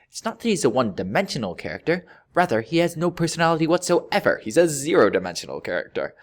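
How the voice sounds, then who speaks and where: A man narrating a scripted review in a wry, deadpan-joking manner, pausing for emphasis. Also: medium pitch. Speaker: video reviewer.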